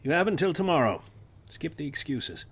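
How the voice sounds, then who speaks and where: A distracted, wry astronomy professor with a husky, breathy edge and a voice that swings widely up and down, as a man plays him. His delivery is dry and teasing, with a raised pitch for a man. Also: very animated, moderate pace, exaggerated voice. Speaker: voice actor in a script reading. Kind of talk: radio-play style script reading.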